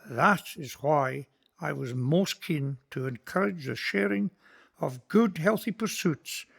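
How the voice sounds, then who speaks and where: Guy doing a scottish minister in a radio play, slow and gravelly, kind and earnest. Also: a little high, fairly bright tone, exaggerated voice. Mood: nostalgic. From Scotland. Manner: measured, sermon-like storytelling. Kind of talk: community radio play monologue.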